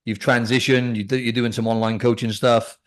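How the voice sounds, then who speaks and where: A rapid-fire Welsh man hosting a podcast, his accent carrying a light Australian twang. He keeps things chummy and upbeat, throwing in bro and mate as he talks. His pitch sits in an ordinary middle range. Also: little pitch movement. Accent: Welsh, with some Australian twang. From Wales. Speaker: podcast host. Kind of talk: casual chat.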